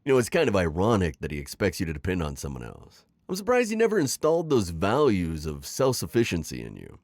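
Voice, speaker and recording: A man's voice: low voice, wildly swooping pitch, fast, darkish tone, no echo, heavily acted. A man playing a sly, teasing, middle-aged retired magical villain in an ASMR roleplay, theatrical and coaxing in his delivery. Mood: coaxing, disapproving. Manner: theatrical, teasing, manipulative coaxing with sighs and chuckles. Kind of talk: scripted ASMR roleplay acting.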